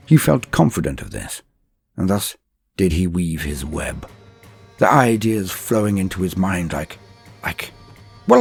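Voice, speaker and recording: A man's voice: low-pitched, gravelly, breathy edge. A man narrating a comedic mock-epic story with archaic phrasing and joking asides.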